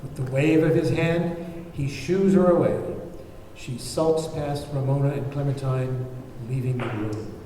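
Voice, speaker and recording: A man's voice: mid-range voice, measured pace, warm tone, distant mic. A man reading scripted stage directions as narrator at a table read, in an echoey, noisy room.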